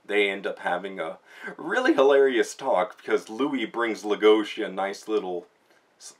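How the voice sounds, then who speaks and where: A man's voice: lowish voice, lively intonation, normal speed. A man giving a casual spoken review, retelling a story in informal, slangy language. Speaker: manga reviewer, host. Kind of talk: casual spoken book review with plot recap.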